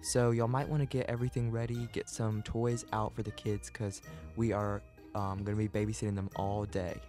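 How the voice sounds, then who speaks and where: A man's voice: on the low side, level tone, moderate pace, warm tone, lightly acted. A man playing an upbeat, bossy parent who chats and gives instructions in a casual online game roleplay. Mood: upbeat, instructing. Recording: music behind the voice.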